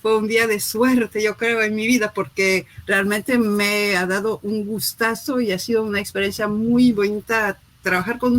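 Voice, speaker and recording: A woman author talking through her new book in a relaxed way, pausing often with fillers and restarts, heard over a video call. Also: medium pitch. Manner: hesitant, many fillers and restarts. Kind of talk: casual spoken book presentation.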